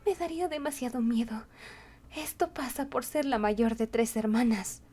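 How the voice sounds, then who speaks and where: A woman's voice: a little high, moderate pace, warm tone, faint music behind, lightly acted. A woman voicing a timid, easily frightened young woman in a storybook, flustered and apologetic. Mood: anxious.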